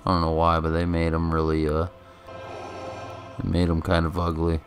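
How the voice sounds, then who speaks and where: A man's voice: sits low, monotone, normal speed, over music. A man giving casual, offhand, rambling commentary on a video game. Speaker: gameplay commentator.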